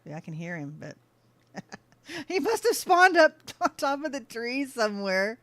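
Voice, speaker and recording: A woman's voice: fairly high pitch, very animated, measured pace, no echo. A woman streaming a game, chatting casually with her viewers, easily sidetracked and laughing often. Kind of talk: casual livestream chat while playing.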